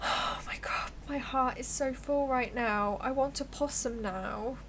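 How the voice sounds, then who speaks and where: A woman's voice: high voice, a little slow, a bit bright, slight background noise, rough recording. A woman with a posh British accent livestreaming a game, commenting playfully and gushing as she reads along. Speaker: game streamer. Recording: background music behind voice.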